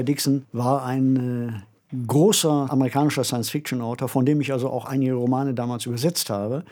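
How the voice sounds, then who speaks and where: An older man with a husky voice, co-hosting a podcast with reflective explanations. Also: mid-range voice, dark tone. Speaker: podcast co-host.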